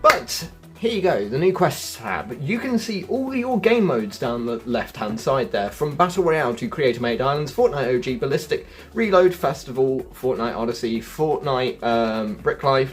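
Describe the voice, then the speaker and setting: A man's voice: medium pitch, expressive, moderate pace, soft background music. A man hosting a gaming channel, talking viewers through a gameplay walkthrough in a chatty way and calling them folks and guys.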